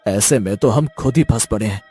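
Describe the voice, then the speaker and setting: A man's voice: fairly low pitch, fairly quick, dark tone, husky, faint music behind. A man playing distrustful, alarmed village men in a cartoon, with emphatic and urgent dramatic delivery. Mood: accusing.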